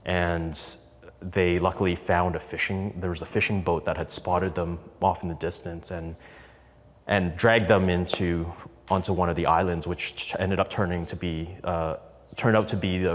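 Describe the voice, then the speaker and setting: Podcast guest: man, thirties, low voice, conversational storyteller, long reverb. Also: fairly even intonation, moderate pace. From Canada, raised in Calgary from infancy. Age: 30s. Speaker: podcast guest, business co-founder. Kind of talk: candid personal storytelling in an interview.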